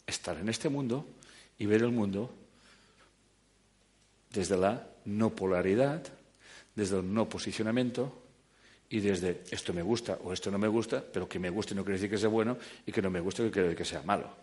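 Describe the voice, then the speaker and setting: Animated man lecturing an audience. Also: on the low side, normal speed, pauses often. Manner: animated, joking, swings between loud and quiet. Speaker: speaker giving a talk.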